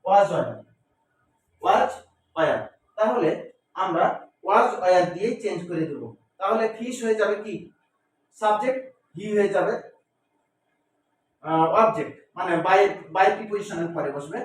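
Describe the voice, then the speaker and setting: A man's voice: sits high, expressive, measured pace, long pauses. A man with a Bengali accent teaching grammar in a didactic, classroom style, repeating phrases, spelling words out and answering his own questions. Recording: echoey room, distant mic, faint noise.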